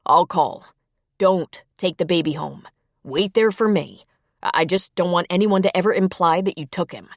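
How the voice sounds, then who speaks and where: Audiobook role: decisive, protective man, read by a female narrator, emphatic orders, lowish pitch for a woman. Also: normal speed, no room sound, studio quality. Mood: firm, urgent. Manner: emphatic, commanding, concerned. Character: protective, decisive adult brother, firm and urgent. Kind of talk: audiobook character dialogue.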